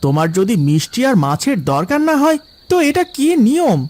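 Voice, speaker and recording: A woman voicing an exasperated, challenging villager in a cartoon, over clearly heard music. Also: fairly high pitch, big pitch swings, a little fast, a little mellow. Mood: reproachful. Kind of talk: cartoon dialogue.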